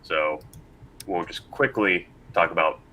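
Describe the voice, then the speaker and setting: Guy w really low punchy voice hosting a panel, rambly and stop-start, bit of noise behind. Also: slow pace, pushed and bright. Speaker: panel moderator. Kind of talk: casual panel discussion. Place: convention panel room. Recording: live panel with background noise.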